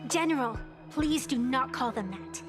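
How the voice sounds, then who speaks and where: Playful teasing young fighter. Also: female voice, sits high, animated, moderate pace. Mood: earnest. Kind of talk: voiced game dialogue. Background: game music.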